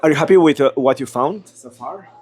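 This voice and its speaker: A man's voice: fairly high pitch, brisk, breathy edge, soft background music. A man interviewing someone, asking questions hesitantly with many fillers.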